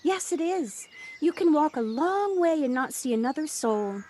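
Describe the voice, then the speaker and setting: A welcoming, outdoorsy village mother , played by an actress in an audio play. She speaks high and with lots of melodic movement, chatty and effusive. The home recording has slight noise and birdsong. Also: lively intonation, normal speed, warm tone. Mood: friendly, inviting. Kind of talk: audio drama acting. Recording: noisy home recording on personal device.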